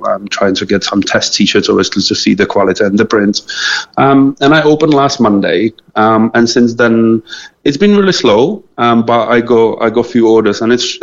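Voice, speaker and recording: A man's voice: medium pitch, dull audio. A man with a Czech accent, a native Czech speaker, answering casually as an interview guest in a hesitant, modest way. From Czech Republic. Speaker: interview guest, small clothing business founder.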